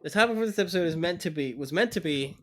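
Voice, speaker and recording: A man's voice: on the high side, expressive, fast. Easygoing young man co-hosting a podcast. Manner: easygoing and wry. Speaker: podcast co-host. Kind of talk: casual chat. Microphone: USB desk mic in a remote call.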